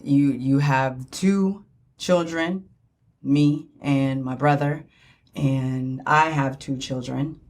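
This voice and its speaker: A middle-aged woman co-hosting in casual conversation, halting and repeating her words for emphasis. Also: very deep voice, fairly even intonation, a little mellow. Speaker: podcast co-host asking questions.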